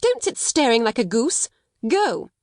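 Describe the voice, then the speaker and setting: A woman's voice: medium pitch, sing-song, fairly quick, no room sound, close to an everyday voice. Storybook villain: cold, domineering headmistress, fierce and biting, played by a female narrator, recorded close and dry. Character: stern, bitter, domineering schoolmistress, cold older sister.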